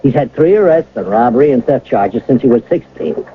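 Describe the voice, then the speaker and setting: Radio drama cop: male actor, hesitant explainer, practical, expressive, slightly noisy muffled recording. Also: medium pitch, natural voice. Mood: firm, placating. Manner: halting, explanatory. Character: hesitant, pragmatic police detective sergeant. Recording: muffled old radio broadcast, faint noise.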